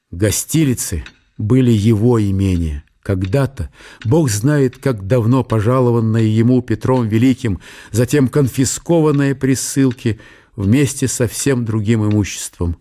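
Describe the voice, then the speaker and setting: A man giving a measured, storytelling narration of a literary radio drama. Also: medium pitch, dark tone. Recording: music under the narration. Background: music.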